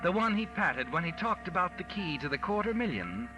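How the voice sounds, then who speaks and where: A man's voice: sits high, big pitch swings, brisk. Sardonic male suspense narrator, 1940s radio, swooping delivery, insinuating, music on old recording. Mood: ominous, suspenseful. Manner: ominous, insinuating second-person storytelling. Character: sardonic male mystery narrator addressing the guilty man as you.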